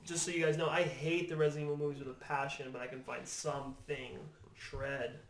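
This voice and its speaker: A man's voice: mid-range voice, flat delivery, fairly quick, a little hiss or hum. A man as a podcast guest, casually discussing movies. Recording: roomy, echoey room recording.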